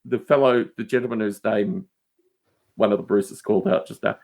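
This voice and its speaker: A man's voice: on the low side, moderate pace, creaky, a little mellow. A man chatting casually as a podcast guest, telling digressive anecdotes with emphatic asides.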